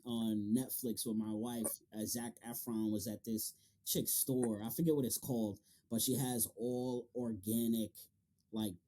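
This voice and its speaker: Male interview host, relaxed dark timbre, emphatic and slangy, curses freely in loose conversation. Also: medium pitch. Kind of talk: casual, profanity-heavy podcast chat.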